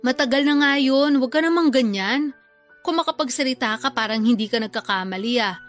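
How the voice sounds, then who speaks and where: Filipino radio drama, sulky defensive older sister, high voice, pleading. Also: female voice, fairly quick, a bit bright. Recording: music under the voice.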